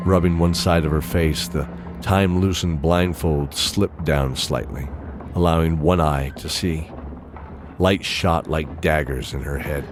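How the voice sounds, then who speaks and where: Deep-voiced man narrating dramatic fantasy. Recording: loud music under the voice.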